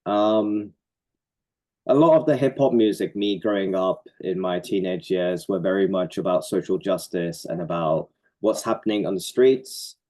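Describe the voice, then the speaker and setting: A man from Norway, a poet and community organizer, talking earnestly and reflectively about his work in an interview. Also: sits low. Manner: reflective, earnest, with soft laughs and fillers. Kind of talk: conversational interview answers.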